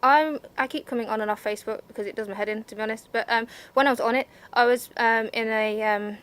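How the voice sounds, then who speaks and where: Forties woman rambling frankly, highish voice. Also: fairly even intonation, fast talker, a bit bright. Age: 40s. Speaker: interviewee. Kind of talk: casual interview answers.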